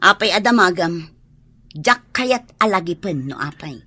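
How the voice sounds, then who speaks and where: A woman's voice: fairly low pitch, expressive, a little slow, sharp tone, slightly rough, full character voice. A woman with an Ilocano accent playing a spiteful, envious wife and mother with a scornful manner in a radio drama. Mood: resentful, defensive.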